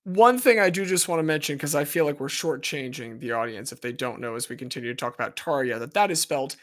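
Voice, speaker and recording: A man's voice: on the high side, fast, a bit bright. A man co-hosting a podcast who chimes in with blunt, jokey remarks and casual swearing. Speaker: podcast co-host. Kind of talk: casual chat.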